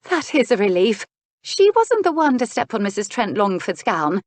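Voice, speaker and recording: A woman narrator voices a well-mannered young lady from a historical romance. She sounds earnest and a little flustered, pitched up, apologetic and courteous, in a dry recording. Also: big pitch swings, moderate pace, dry recording, lightly acted. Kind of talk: historical romance audiobook character.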